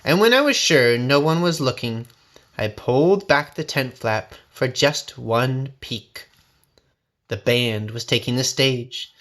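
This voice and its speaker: A man reading a folksy first-person story aloud in a dramatic, expressive, suspenseful way. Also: medium pitch, slow pace. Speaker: storybook reader.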